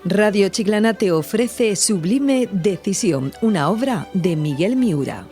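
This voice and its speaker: A woman's voice: mid-range voice, animated, unhurried, warm tone, soft background music. A woman reading a scripted radio programme announcement as its announcer.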